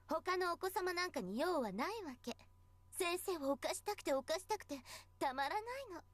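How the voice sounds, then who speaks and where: A sharp-tongued teenage schoolgirl from a visual novel game, taunting and scornful. Her voice is high and races along with animated swings. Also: fast talker. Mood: scornful, mocking.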